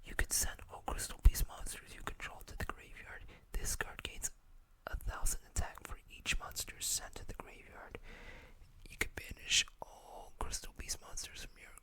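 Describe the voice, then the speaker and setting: A woman whispering in a breathy, unvoiced voice, hosting a casual, gentle ASMR show-and-tell. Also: moderate pace. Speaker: ASMR video host.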